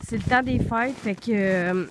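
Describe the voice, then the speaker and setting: A woman's voice: a little high, a little slow, noisy background. A young woman with a Quebec French accent, congested from the flu and a bit out of breath, chatting loosely with many fillers while walking in snowy woods. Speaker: vlogger. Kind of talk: casual vlog chat while walking outdoors. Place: snowy woods outdoors in deep cold.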